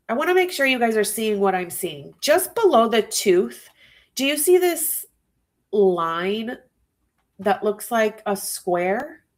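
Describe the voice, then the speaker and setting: A woman hosting a true crime livestream, musing aloud to her viewers with a stuffy nose. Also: on the high side. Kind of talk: casual live-stream commentary.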